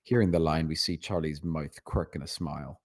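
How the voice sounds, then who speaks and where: A man's voice: rumbling low, fairly even intonation, moderate pace, vocal fry, a little mellow, dry recording, clean studio sound. A man reading stage directions as scripted narration at a screenplay table read.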